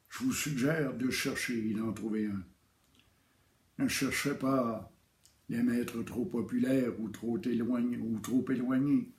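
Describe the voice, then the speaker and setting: Man, spiritual teacher, warm low-key tone, calm and measured, roomy echo.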